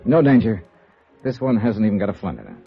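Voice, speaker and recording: An American man playing a brisk, wry hard-boiled insurance investigator in 1950s radio noir style, clipped and dry. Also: fairly low pitch, huge pitch swings, creaky, full character voice. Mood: polite, guarded. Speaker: lead actor and narrator. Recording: dull old radio recording, faint music.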